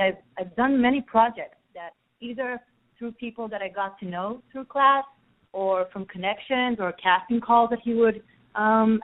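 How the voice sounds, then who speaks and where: Israeli-born woman on a tinny phone line. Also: on the high side. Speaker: acting student caller. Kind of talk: casual phone interview. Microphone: telephone.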